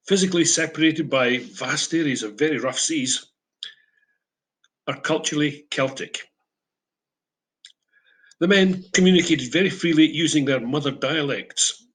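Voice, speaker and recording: Scottish man, 70s, veteran teacher; measured, reflective, lightly humorous. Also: a little high, fairly bright tone, rough recording. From Scotland. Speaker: English teacher. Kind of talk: reflective prepared talk.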